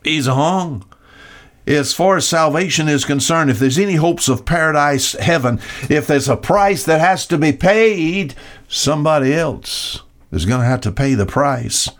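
A man preaching an expository sermon, emphatic, with dramatic pauses and rhetorical questions. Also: a little high, animated. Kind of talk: expository sermon, reading and explaining Bible verses.

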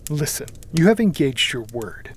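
Man acting a deluded, imperious would-be king, rather high-voiced. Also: animated, measured pace, a little hiss or hum. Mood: steady, stern. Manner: emphatic, commanding declamation. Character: deluded, grandiose young man, angry and imperious. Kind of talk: audiobook character acting.

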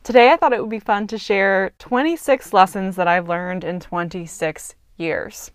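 Smooth, dry-voiced young woman podcaster. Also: medium pitch, normal speed, dry recording. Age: 20s. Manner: earnest, chatty, thinks aloud with fillers. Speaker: podcast host. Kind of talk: casual reflective monologue.

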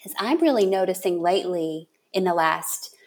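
A woman hosting a casual interview chat, thinking aloud in a halting way as she works toward her point. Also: fairly low pitch, expressive, a little mellow. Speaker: podcast host and writer.

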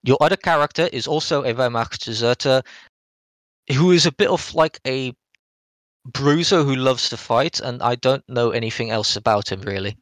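A man talks casually as a podcast co-host going over a game. His delivery is halting and hedging as he rephrases his way along, at a middling pitch in a dry, close-sounding recording. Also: fairly bright tone, dry recording. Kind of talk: casual rambling game review talk.

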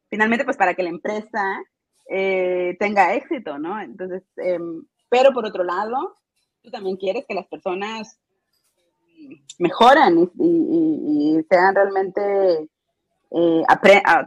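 Woman guest, thoughtful and halting explainer, recorded dull and dry. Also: medium pitch, dry recording. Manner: hesitant, many fillers and restarts, explanatory. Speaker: instructional designer guest. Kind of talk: casual interview answers.

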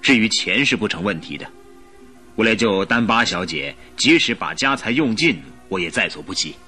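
A haughty male tycoon in a radio play. His low voice is forceful and swoops with curt authority, with faint music behind him. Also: expressive, moderate pace. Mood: commanding. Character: domineering, sturdy, lion-like male gold-mine tycoon. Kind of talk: radio drama acting.

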